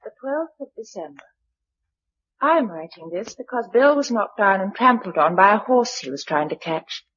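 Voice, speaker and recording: An actress playing an affectionate, level-headed young wife after the apocalypse, in British radio drama style. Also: female voice, mid-range voice, expressive, moderate pace, fairly bright tone, a little hiss or hum, natural voice. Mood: sober, reflective. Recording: dull, lo-fi radio drama recording.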